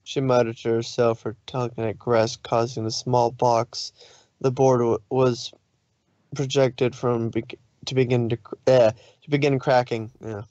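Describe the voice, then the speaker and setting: A man's voice: medium pitch, little pitch movement, measured pace, warm tone, no echo, highs cut off. A man narrating fan fiction in a dramatic storytelling way, sometimes stumbling over his words.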